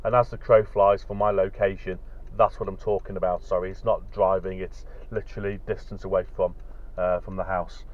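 A man's voice: deep voice, little pitch movement, fairly quick. A British man in his forties giving casual, off-the-cuff commentary as he drives and tests radios. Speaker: vlogger testing two-way radios. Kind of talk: casual vlog commentary while driving. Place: inside a car. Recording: dull sound, loud car and road noise. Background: car engine and road noise.

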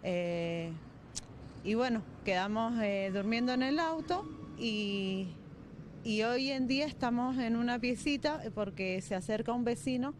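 A woman in her thirties with an Argentine accent, answering a reporter's questions on location in a soft, halting way and repeating her words. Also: medium pitch, slight background noise. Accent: Argentine Spanish. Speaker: interviewee. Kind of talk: on-location news interview. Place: outdoors by a brick shack, then a small room. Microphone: handheld reporter's mic.

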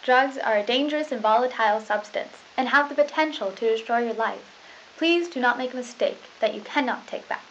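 A young woman co-anchors a school news desk, reading earnestly in a fairly high voice. The sound is muffled and lo-fi, with a faint hiss behind her. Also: a little fast, a bit bright. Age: young adult. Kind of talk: scripted school news broadcast. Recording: lo-fi hissy old tape.

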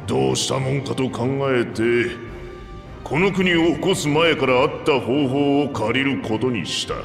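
A man playing an aging two-headed reptilian king in a video game, commanding, frank and gruff in his manner. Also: medium pitch, unhurried. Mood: frank, wry. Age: older. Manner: gruff, regal, plain-spoken old-ruler speech. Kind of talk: Japanese video game voice acting. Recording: echoey hall reverb, background music.

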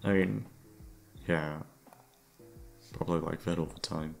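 A man with a gravelly voice rambling casually, tired and downcast, hesitant and self-deprecating, swearing often. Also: a bass voice, fairly even intonation, a little slow. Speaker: audio creator talking to listeners. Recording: music playing behind the voice. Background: soft background music.

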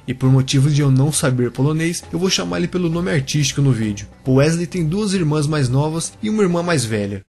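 A man's voice: on the high side, lively intonation, brisk, few pauses, a little mellow, soft background music. A man narrating a biography video for a YouTube channel, speaking casually and directly to his viewers.

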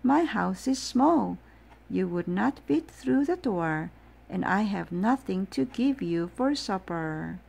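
A woman voicing a gentle, muddled little male owl in a storybook read-aloud, plaintive and earnest. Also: mid-range voice, animated, slow, creaky, heavily acted, poor audio.

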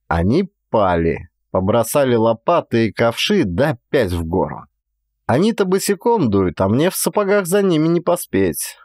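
A man voicing a gruff, stubborn, talkative Cossack inspector in a dramatized audiobook, telling things in emphatic colloquial speech. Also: medium pitch, a little mellow, no echo, studio quality. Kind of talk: dramatized audiobook character dialogue.

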